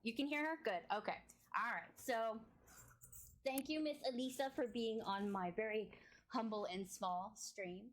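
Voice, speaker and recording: A woman hosting a casual live-streamed podcast interview. She talks in an effusive, chatty way with a big booming voice and laughs often. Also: measured pace.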